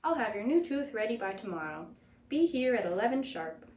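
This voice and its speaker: A woman's voice: on the high side, big pitch swings, a little mellow, distant mic, slight background noise. Woman playing a firm mouse dentist in echoey room. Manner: brisk, firm, authoritative.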